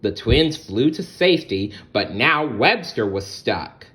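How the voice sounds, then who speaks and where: A man reading a children's story aloud in a gentle, expressive way, speaking directly to young listeners. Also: mid-range voice, slow pace. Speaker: storybook reader. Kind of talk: animated children's read-aloud.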